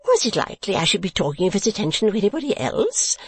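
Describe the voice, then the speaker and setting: A woman's voice: mid-range voice, huge pitch swings, quick pace, breathy edge, voice pushed up, heavily acted. A woman voicing a garrulous, scatterbrained middle-aged mother in a classic novel, emphatic and easily indignant. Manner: gushing, emphatic, exclamatory. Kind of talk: dramatised classic novel reading.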